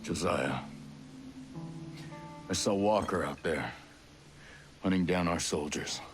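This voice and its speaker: An actor playing a stoic, determined special forces soldier in a video game, speaking in a terse, understated way. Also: male voice, deep voice, takes it slowly. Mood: grim. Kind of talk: video game cutscene acting. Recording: music playing behind.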